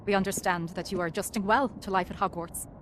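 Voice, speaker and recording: A woman plays a dignified, authoritative headmistress in a fantasy game. She speaks briskly and formally at a middling pitch, composed throughout, with faint noise behind her. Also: steady tone, fairly bright tone, natural voice. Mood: gracious. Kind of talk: scripted video game acting.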